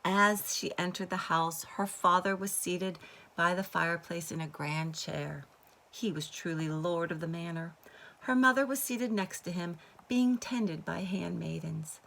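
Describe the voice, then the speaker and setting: A woman's voice: lowish voice, gravelly, fairly bright tone. A woman reading a children's story aloud expressively, like a teacher to pupils. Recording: faint background noise.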